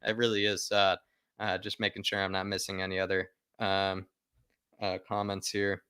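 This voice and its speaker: A man with a fairly low, forceful voice hosts a football fan livestream. He talks casually and directly to viewers and often repeats phrases for emphasis. The sound is dry and close. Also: monotone, moderate pace, clean tone, dry recording. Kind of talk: casual live fan chat, reading viewer comments aloud.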